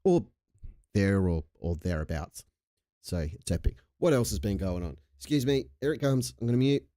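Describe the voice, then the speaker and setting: Australian male streamer, low relaxed voice, loose slangy banter, slightly under the weather from a chesty cold, very dry close sound. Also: normal speed, dry recording. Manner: laid-back, jokey, slangy and sweary. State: recovering from a chest infection with a lingering cough. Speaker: livestream host. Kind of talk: casual livestream chat.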